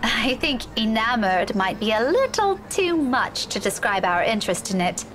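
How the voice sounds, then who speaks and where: A studious young woman with a fairly high voice. She speaks deferentially and with slight hesitation, as a visual novel game character, over a faintly noisy recording. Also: very animated, moderate pace, fairly bright tone. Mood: hesitant, polite. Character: respectful, studious young woman, former student of professor. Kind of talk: video game voice acting.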